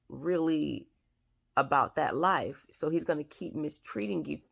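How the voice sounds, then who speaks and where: A woman hosting her own advice show, speaking with a raspy, scratchy throat in an earnest, repetitive way with many fillers. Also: low-pitched. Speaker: host. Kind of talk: advice talk to camera.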